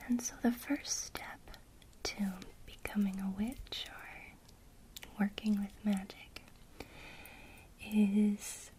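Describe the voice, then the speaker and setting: A woman whispering a gentle witchcraft lesson in ASMR style, speaking directly to the listener. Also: medium pitch, poor audio. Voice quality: soft unvoiced whisper throughout. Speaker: ASMR creator teaching witchcraft.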